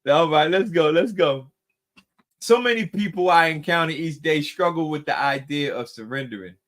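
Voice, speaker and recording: A man's voice: a little high, moderate pace, fairly bright tone. A middle-aged man leading a recovery group, giving a motivational talk that repeats phrases for emphasis and calls the listeners y'all.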